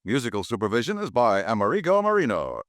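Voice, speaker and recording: A man announcing on 1950s radio in a smooth, formal, measured way. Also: medium pitch, huge pitch swings, dry recording, clean studio sound.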